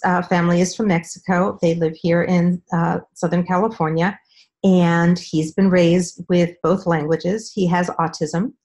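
A middle-aged woman speaking as a guest expert on a video call from a room at home, explaining in a measured way with frequent ums. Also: lowish voice, darkish tone. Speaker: speech-language pathologist guest.

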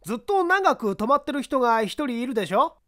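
A reader voicing a brash, impatient newspaperman in an audiobook mystery, with blunt, rough colloquial speech. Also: high-pitched, very animated, brisk, a bit bright, pitched above the performer's own voice. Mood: probing. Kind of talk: audiobook character acting.

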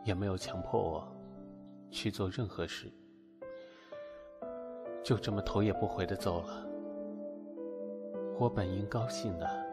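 A man acts out a young man in an audio drama who keeps his distance. His voice sits fairly low, polite but cool and guarded. Music plays clearly behind him. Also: measured pace, long pauses. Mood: wistful narration. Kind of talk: amateur audio drama acting.

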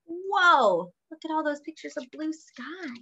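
Young female children's story reader: bright, high voice, sing-song and expressive, recorded on a muffled home webcam. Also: a little slow. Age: young adult. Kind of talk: read-aloud of a picture book with asides to young listeners. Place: small indoor room at home. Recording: dull lo-fi webcam sound.

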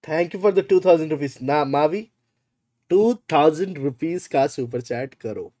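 A man's voice: fairly high pitch, slow pace, mellow, no echo. A man, bantering casually on a game livestream in a teasing, mock-indignant, exclamatory manner. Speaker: game streamer. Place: small room indoors.

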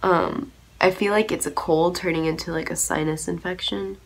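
Young woman, stuffy from a cold, low-ish voice, bubbly beauty-tutorial chatter, bedroom camera. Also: steady tone, normal speed, poor audio. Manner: bubbly, chatty, frequent ums and likes. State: sick with a cold, voice lower than usual. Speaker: beauty vlogger. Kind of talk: casual makeup tutorial chat. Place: bedroom, indoors.

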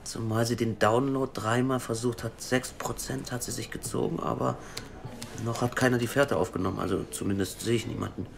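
A man's voice: medium pitch, creaky, a little hiss or hum, lightly acted. An actor playing a nervous, stammering male hacker in an audio drama. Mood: tense. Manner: stammering, hurried, excitable. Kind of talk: radio drama acting.

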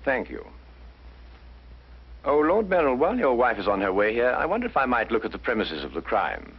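An actor playing a brilliant, haughty English gentleman detective, precise and pompous, in the manner of 1950s television drama. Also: medium pitch, wildly swooping pitch, brisk, slightly rough, full character voice. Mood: cool, probing. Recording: dull 1950s broadcast soundtrack, faint music.

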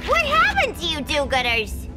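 A giddy, wisecracking villainess in a video game. Her squeaky voice sits very high and leaps all over the place. Loud game music plays under her. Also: wildly swooping pitch, moderate pace. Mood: cheeky. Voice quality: squeaky, brassy. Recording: game soundtrack music behind voice.

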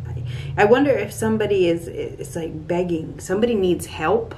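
A middle-aged woman giving an improvised tarot reading to camera in a small room at home, halting and repeating phrases as she thinks aloud. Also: mid-range voice, poor audio. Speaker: tarot card reader. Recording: clear steady background room noise.

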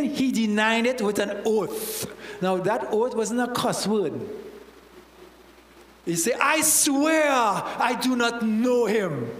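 High-voiced Trinidadian preacher in an echoey hall. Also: male voice. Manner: animated, acts out Bible characters with local slang. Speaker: church elder preaching. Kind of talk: sermon. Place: church hall with stage. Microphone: handheld microphone. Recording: roomy church hall reverb.

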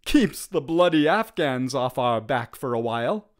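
A man's voice: medium pitch, animated, a little slow, natural voice. A man voicing a cocky, ambitious British soldier, brash and casual, in a storybook.

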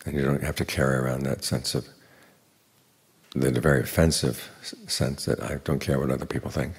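An older man, a Buddhist monk, giving an evening dharma talk in an unhurried, plain teaching manner with dry humor. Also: steady tone. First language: English. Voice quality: very deep gravelly voice.

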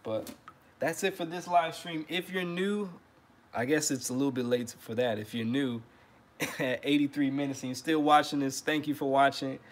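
A man in his thirties hosting a casual trumpet livestream from his living room, relaxed and friendly, often repeating words as he talks. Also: mid-range voice, moderate pace. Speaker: trumpet teacher and livestream host.

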